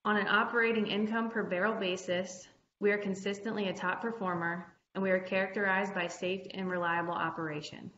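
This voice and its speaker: A woman's voice: flat delivery, a little fast, fairly bright tone. Woman engineer presenting in an echoey room. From Ohio, United States. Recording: echoey room, muffled low-fi, faint hiss.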